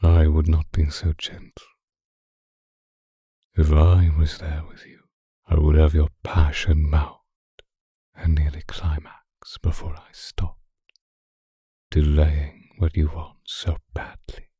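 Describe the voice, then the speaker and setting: A man voicing a seductive, possessive vampire in an intimate ASMR roleplay, teasing and deliberate, with a breathy, husky voice that often drops into a whisper, close to the microphone. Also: rumbling low, completely flat delivery, warm tone, no echo, exaggerated voice. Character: seductive, possessive, obsessive male vampire stalker. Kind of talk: intimate ASMR roleplay monologue addressed to listener. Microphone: close microphone, dry.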